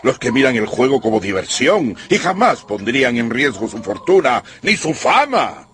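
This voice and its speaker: An actor playing a stern, lecturing general and head of a family in a radio drama, authoritative and reproving. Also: male voice, medium pitch, sing-song, normal speed, a bit bright. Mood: stern reproof. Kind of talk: Spanish-language radio drama acting.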